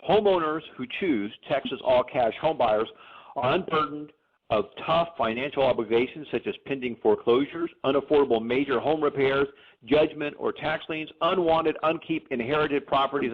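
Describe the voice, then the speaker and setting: A male radio host with an average-pitched voice, friendly and a little repetitive as he gets going. His voice sounds narrow and phone-like. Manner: friendly, repeats words when starting. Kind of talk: radio interview hosting. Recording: phone-quality radio audio.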